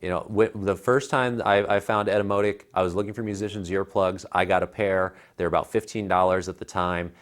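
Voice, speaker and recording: A man's voice: sits low, steady tone, a little fast, few pauses, clean tone. An American man in his thirties reviewing tech in casual chat, with an emphatic, persuasive, colloquial way of talking. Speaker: tech reviewer, show co-host. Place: living room at home. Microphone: clip-on lapel mic.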